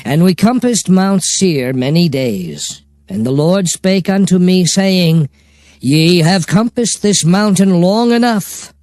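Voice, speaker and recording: A man's voice: sits high, expressive, slow pace, a little mellow, no room sound, studio quality. A man playing a solemn prophet who recounts God's commands in a dramatized scripture reading, with emphatic oratory. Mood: grave, commanding. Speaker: Bible narrator.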